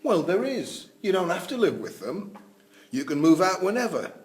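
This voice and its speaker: A man's voice: high voice, big pitch swings, moderate pace. An actor playing a brusque, sardonic professional man in authority in a drama script reading. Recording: echoey room.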